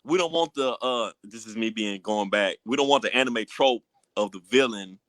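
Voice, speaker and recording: A man co-hosting a podcast in casual chat, halting and a bit defensive, using slang. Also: fairly low pitch, fairly bright tone, dry recording, studio quality. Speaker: podcast co-host. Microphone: external mic with a phone.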